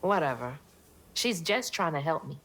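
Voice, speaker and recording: A woman's voice: sits low, big pitch swings, moderate pace, fairly bright tone, exaggerated voice. A woman playing a headstrong, wounded teenage girl in a video game drama, blunt and defiant, with a Southern American accent.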